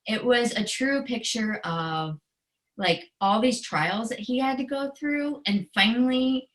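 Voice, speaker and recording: A woman's voice: fairly high pitch, measured pace, a bit bright. A middle-aged American woman speaking in an earnest, emotionally open, conversational way as an interview guest telling personal stories. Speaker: guest author and special education educator.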